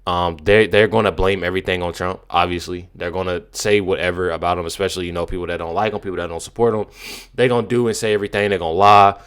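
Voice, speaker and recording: An American man doing casual political commentary as a video host, in an emphatic, slangy, halting way with many repeats. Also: low voice, steady tone, a bit bright, clean tone.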